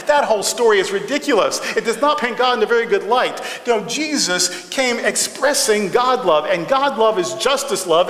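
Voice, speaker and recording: A man's voice: high-pitched, fairly bright tone. A middle-aged man preaching a sermon in a large church sanctuary, emphatic and rhythmic, building phrases through repetition. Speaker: pastor. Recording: echoey hall reverb.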